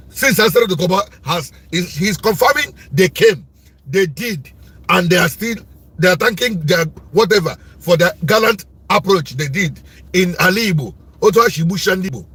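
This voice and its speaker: A loud Nigerian man holds forth on politics in a high, husky voice that keeps climbing as he presses each point. He repeats himself and drives his words home like a preacher. The sound is dry and close, with no room around it. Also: lively intonation, normal speed, no echo. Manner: forceful, repetitive, preaching tone. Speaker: commentator. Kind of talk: impassioned political commentary.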